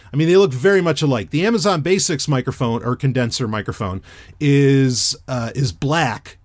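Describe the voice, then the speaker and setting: Loud radio-trained man, dry sound. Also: medium pitch, no room sound. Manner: chatty and animated, lots of fillers and restarts. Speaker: podcaster reviewing a microphone. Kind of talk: casual rambling product review.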